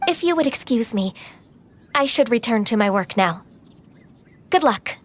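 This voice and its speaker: A woman's voice: fairly high pitch, expressive, a little slow, slight background noise. A woman voicing a polite young townswoman who speaks in a courteous, formal way. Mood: gracious. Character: polite young woman of the harbor town, gracious.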